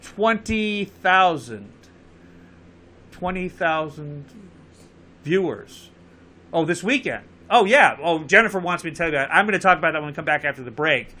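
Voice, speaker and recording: A man's voice: on the high side, animated, moderate pace, long pauses, pushed and bright. A man with a Connecticut accent hosting a live call-in show, urging viewers to phone in and repeating the number. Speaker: show host.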